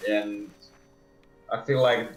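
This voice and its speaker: A man talking casually and haltingly as a game developer guest, recorded in an echoey room. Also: on the low side, flat delivery, faint music behind. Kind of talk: casual interview chat. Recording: echoey room with faint noise.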